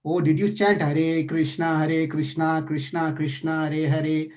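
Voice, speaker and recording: A man's voice: a little high, little pitch movement, a little slow, a little mellow, close to an everyday voice. A man voicing a playful, caring male deity who eagerly questions a frightened sage in a devotional story. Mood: curious, eager. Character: playful caring divine lord, male, curious.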